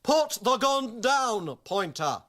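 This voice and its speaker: An actor playing a brisk police inspector in a radio play, clipped, commanding and sardonic, with noisy, clicking radio sound. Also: male voice, very high voice, big pitch swings, fairly bright tone, heavily acted. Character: brisk male police inspector handling an armed siege.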